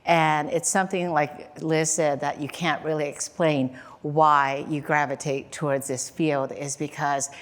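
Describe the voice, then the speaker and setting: A woman's voice: low-pitched, little pitch movement, unhurried, few pauses. A woman from Laos answering on a panel, hesitant, with many fillers. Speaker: designer panelist. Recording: long reverb of a hall.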